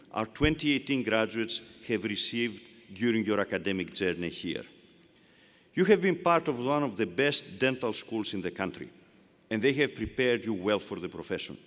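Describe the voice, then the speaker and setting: A man with a Greek accent giving a formal commencement speech, delivering measured phrases one at a time from a podium. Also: fairly low pitch, animated. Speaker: university leader giving commencement address. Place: large hall. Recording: long reverb of a large hall.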